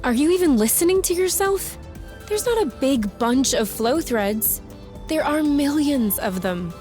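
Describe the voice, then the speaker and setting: Game heroine, young and defiant, highish voice, sarcastic and anxious, speaking over music. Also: female voice, a little slow. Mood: skeptical, warming. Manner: blunt, sarcastic, expressive. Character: feisty, defiant young woman, anxious runaway lover. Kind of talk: video game cutscene acting.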